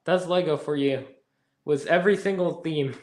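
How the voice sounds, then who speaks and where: A man's voice: fairly high pitch, normal speed, echoey room. A man hosting a casual podcast, chatting and reading out viewer comments. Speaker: podcast host reading live chat. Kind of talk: casual chat.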